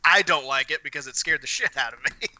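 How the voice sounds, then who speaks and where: A man with a very bright, sharp voice, recorded close and dry. He talks as a podcast co-host in loose, casual chat, swearing freely as he tells his stories. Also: fairly high pitch, a little fast, dry recording.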